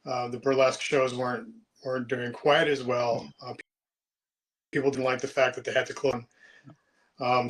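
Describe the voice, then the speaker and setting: A man in his late fifties answering interview questions in a relaxed, chatty way, often pausing with ums and restarting his sentences. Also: medium pitch, rough recording. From United States. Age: 50s. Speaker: interview guest. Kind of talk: casual interview chat.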